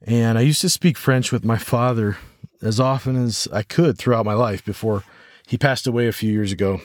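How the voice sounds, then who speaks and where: An American man hosting a podcast solo, talking reflectively and haltingly as he thinks aloud. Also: medium pitch, no echo. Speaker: podcast host.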